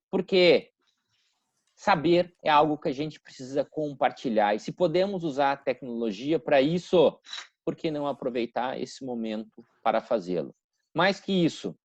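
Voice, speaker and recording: A man hosting an online lecture welcomes everyone in a formal, gracious way. His voice sits fairly high and sounds dry and a little dull, as if through a video call. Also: no room sound. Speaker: host and professor.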